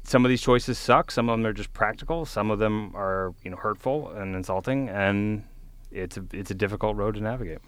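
A man from the southern US hosting a podcast chat, making his points briskly and argumentatively. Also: fairly low pitch, a bit bright. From southern USA. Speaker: host.

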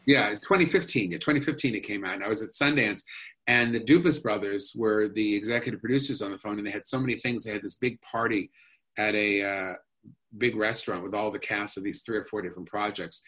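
Male actor guest, low voice with a bright edge, rambling and eager. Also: brisk, breathy edge, poor audio. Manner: rambling, enthusiastic, many restarts and fillers. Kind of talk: casual interview talk.

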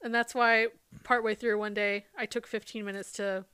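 Bright, smooth-voiced woman podcast co-host. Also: mid-range voice, flat delivery, normal speed, no echo, studio quality. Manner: chatty, swears freely, laughs often. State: losing her voice. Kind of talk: casual chat.

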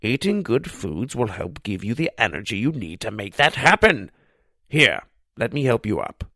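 A man voicing a kindly old pizza shop owner who gently gives advice in a storybook. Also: lowish voice, big pitch swings, moderate pace, no echo, studio quality, full character voice.

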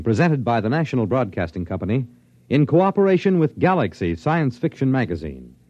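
A man's voice: mid-range voice, a little mellow, no echo. A man announcing in a formal, emphatic 1950s radio style, reading scripted credits. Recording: muffled old radio recording.

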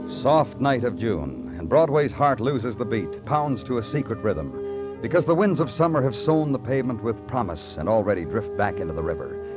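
An actor playing a brooding, poetic hard-boiled police detective in a measured, solemn radio crime drama style. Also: male voice, mid-range voice, big pitch swings, natural voice. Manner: measured, solemn, literary delivery. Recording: dull old radio recording with music.

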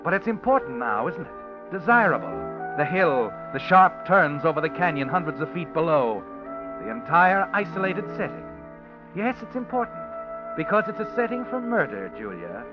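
A man's voice: a little high, big pitch swings, normal speed. A man doing an insinuating radio narrator. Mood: knowing, ominous. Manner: insinuating second-person narration. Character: mysterious male narrator speaking to the listener. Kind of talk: 1940s radio drama narration. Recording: dull old radio recording with music.

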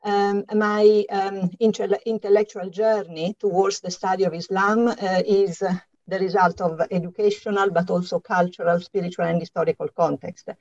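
An older woman with an Italian accent, a guest author answering interview questions with many pauses and fillers. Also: mid-range voice, steady tone, darkish tone. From Italy. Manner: hesitant, many ums and uhs. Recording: slightly dull, lo-fi sound.

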